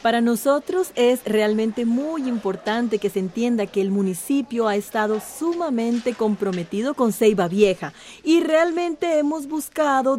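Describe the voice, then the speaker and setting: An actress playing an evasive, self-important small-town mayor, making formal speeches in a radio drama. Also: female voice, fairly high pitch, a little mellow. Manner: formal, grandiose.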